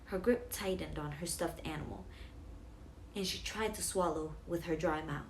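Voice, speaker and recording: A woman with a fairly low voice tells a bedtime story for children as a storyteller. Faint noise sits behind her on the recording. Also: normal speed, poor audio.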